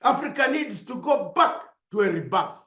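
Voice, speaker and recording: A man's voice: sits high, big pitch swings, normal speed, bright, forceful tone. A man from Uganda, a broadcaster, giving an impassioned political speech with emphatic repetition. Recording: roomy, slightly dull sound.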